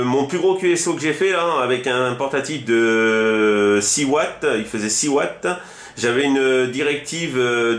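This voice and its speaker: A man's voice: mid-range voice, a bit bright, roomy sound. A man chatting casually as a radio hobbyist, rambling and enthusiastic, often repeating himself. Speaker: amateur CB radio operator.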